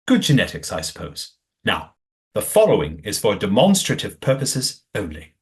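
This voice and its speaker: A man's voice: lowish voice, wildly swooping pitch, normal speed. A man playing a courteous master of a secretive old manor in an audio play, with formal, precise wording. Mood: dry, matter-of-fact.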